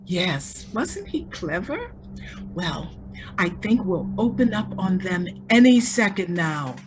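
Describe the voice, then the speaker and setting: A woman's voice: mid-range voice, sing-song, slow pace, noisy background. A woman playing a carefree, sheltered rich young woman in a radio play, breezy and girlish, given to exclaiming. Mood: gleeful excitement.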